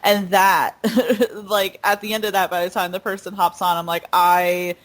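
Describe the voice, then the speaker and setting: A woman's voice: fairly low pitch, level tone. Giggly young woman interviewer. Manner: bubbly, giggly, asks questions in quick bursts. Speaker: interviewer, host. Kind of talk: casual interview chat.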